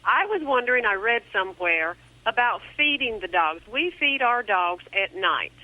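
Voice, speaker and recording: A woman's voice: on the high side, lively intonation, normal speed. A woman from Alabama with a Southern accent, calling in with a question about her dogs. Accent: Southern American. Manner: polite, laughs easily. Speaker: caller. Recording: muffled phone line.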